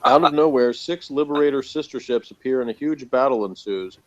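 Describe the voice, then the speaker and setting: A man with a medium-pitched voice talks casually through a review, with a sardonic edge. The recording is slightly dull and lo-fi, like an informal podcast. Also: a little fast.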